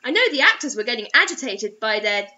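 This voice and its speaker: A young adult woman giving an expressive, theatrical, mocking reading of a story on a webcam in a small room. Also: on the high side, quick pace, highs cut off. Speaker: host reading bad fiction aloud.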